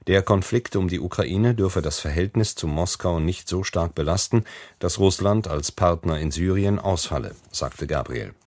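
A man reading the hourly radio news in a formal, even manner. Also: low-pitched, moderate pace, dry recording, studio quality. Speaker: newsreader.

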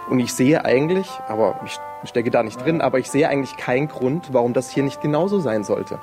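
A man's voice: mid-range voice, lively intonation, a little fast. Man talking over guitar music. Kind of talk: spontaneous interview talk.

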